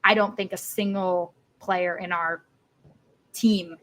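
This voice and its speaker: Female sports podcaster, bright and cutting tone. Casual, emphatic match analysis with repeated phrases for stress. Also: on the low side, fairly even intonation, slow, poor audio.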